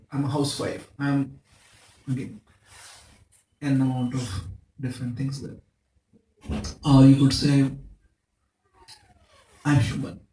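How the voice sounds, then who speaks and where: A man's voice: mid-range voice, darkish tone, a little hiss or hum. Man preaching in an echoey hall. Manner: asks the audience rhetorical questions and quick checks like "right?"; uses props and everyday examples. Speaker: speaker at a temple Sunday feast. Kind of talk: spiritual talk to a live audience. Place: temple hall. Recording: echoey room, mic at a distance.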